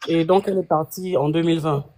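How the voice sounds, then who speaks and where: A man's voice: a little high, fairly even intonation, fast, mellow. A man. He is a participant in a live call-in panel, putting questions to the others.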